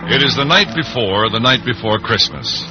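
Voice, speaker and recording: A man's voice: medium pitch, expressive, moderate pace. Male old-time radio narrator over organ. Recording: muffled old radio recording. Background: organ music.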